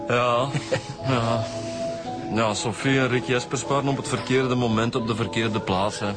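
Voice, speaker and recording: Actor as Flemish detective over loud music. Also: male voice, mid-range voice, steady tone, unhurried, natural voice. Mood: easygoing, agreeing. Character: male police detective, junior to his boss. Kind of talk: radio drama dialogue.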